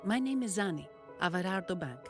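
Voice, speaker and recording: A composed, wry banker from a video game, acted by a woman. Her voice sits low and she talks in a dry, matter-of-fact manner. Music plays behind her. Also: animated, fairly quick, close to an everyday voice. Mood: formal. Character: cool, businesslike, wry female bank employee.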